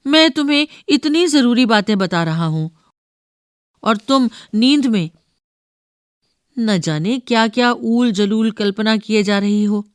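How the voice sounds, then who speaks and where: A woman's voice: on the high side, slow, pauses often, clear, resonant tone, no room sound, clean studio sound, pitched above the performer's own voice. A woman voicing an eager, impatient young grandson who is easily annoyed, in a storybook reading. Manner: insistent, excitable.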